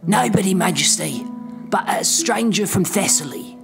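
A man's voice: sits high, animated, normal speed, vocal fry, voice pushed up. Man acting deferential, fearful royal sentry, music swelling. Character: wary, awed royal sentry and spy servants of a king. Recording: loud music over the voice.

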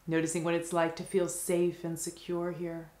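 A woman with a low-pitched voice leads a guided meditation. Her delivery is very even and gentle, with soothing phrases set apart by long pauses. The sound is roomy, with noticeable reverberation around the voice.

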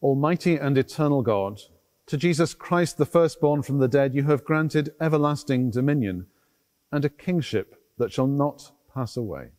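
A man's voice: medium pitch, expressive, normal speed, a little mellow. A middle-aged man speaking as a priest, delivering formal liturgical address. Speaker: priest, celebrant. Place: large stone cathedral interior. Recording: long church reverb.

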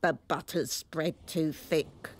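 A woman's voice: low-pitched, steady tone, slow. A woman voicing a glum, blunt male carpenter in a storybook poem, curt and dour. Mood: grumpy.

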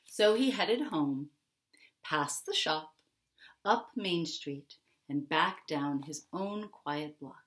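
A middle-aged woman reads a children's story aloud at an unhurried pace. Her delivery is expressive and dramatic, with deliberate pauses and emphasis on key words. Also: deep voice. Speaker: picture-book author reading aloud. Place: small furnished room at home, indoors.

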